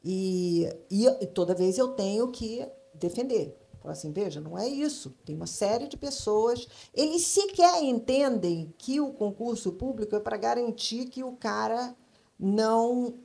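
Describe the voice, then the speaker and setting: A woman's voice: fairly high pitch, vocal fry, darkish tone. A middle-aged woman from Brasília, Brazil, speaking emphatically as a panelist in a live discussion. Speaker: panelist, advertising professional. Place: stage at a public event.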